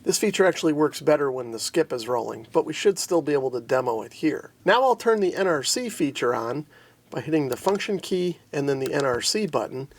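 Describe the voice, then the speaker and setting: An American man narrating a step-by-step product demonstration and explaining features as he goes. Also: on the high side. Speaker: radio reviewer and demonstrator.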